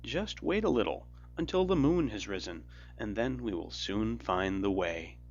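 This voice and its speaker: A man voicing a gentle young boy in a fairy tale, speaking in a soothing, reassuring way. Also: lowish voice, expressive, unhurried, highs cut off. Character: gentle young boy comforting his little sister. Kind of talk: fairy tale storybook reading.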